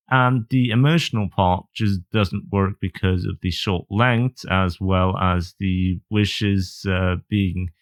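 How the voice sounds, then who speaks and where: A man delivering semi-scripted review commentary in a blunt, somewhat hesitant way. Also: on the low side. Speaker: video reviewer of anime visual novels.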